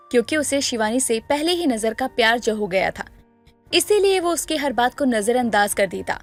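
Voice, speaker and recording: High-pitched woman storyteller. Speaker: narrator. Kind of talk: scripted story narration.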